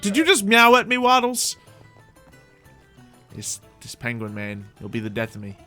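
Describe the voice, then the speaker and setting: A man's voice: high-pitched, wildly swooping pitch, moderate pace, pushed and bright, faint music behind. A man hosting a livestreamed game roleplay, giving whimsical, rambling in-character commentary and talking to his audience in a theatrical way. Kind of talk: in-character gameplay commentary, chatting with viewers.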